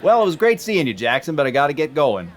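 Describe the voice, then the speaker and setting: An actor playing a breezy, wisecracking, hard-drinking bandleader buddy who teases in a radio comedy. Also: male voice, fairly high pitch, sing-song, quick pace, very noisy. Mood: breezy, teasing. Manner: breezy, teasing. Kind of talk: classic radio comedy acting. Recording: loud crowd and music behind voice.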